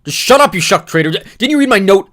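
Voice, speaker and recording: A man's voice: medium pitch, fast, lightly acted. A man voicing a furious young man sliding into madness, ranting and screaming bitter accusations. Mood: raging. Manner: screaming, ranting, spitting rage.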